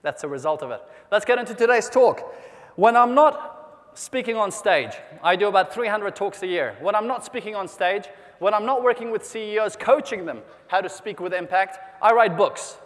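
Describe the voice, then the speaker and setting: A man from New Zealand giving an energetic motivational keynote, rallying the audience with questions and calls for applause. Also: high voice, moderate pace. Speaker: motivational speaker. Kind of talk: keynote speech to a convention audience. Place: large convention hall. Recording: long hall reverb.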